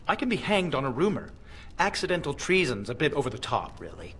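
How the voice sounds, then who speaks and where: A man's voice: a little high, big pitch swings, fairly quick, fairly bright tone, slight background noise. A man playing a bitter, sarcastic renegade elf mage in a fantasy video game, dry and uneasy in his delivery. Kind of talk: video game cutscene acting.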